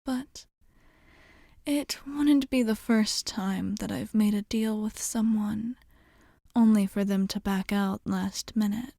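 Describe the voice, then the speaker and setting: Woman playing a slow, mellow, whispery vampire bartender. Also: mid-range voice, steady tone, no room sound, clean studio sound. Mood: gentle, reassuring. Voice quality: soft, breathy, often dropping to a whisper. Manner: formal, measured, gently reassuring. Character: courteous, soothing female vampire bartender with dry humour. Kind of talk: ASMR roleplay.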